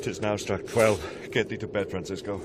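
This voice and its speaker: A British man acting a brisk, insistent castle sentinel in a forthright, theatrical Shakespearean radio drama style. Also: fairly low pitch, fairly even intonation, natural voice. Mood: brisk, businesslike. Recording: noisy 1990s radio drama with ambience.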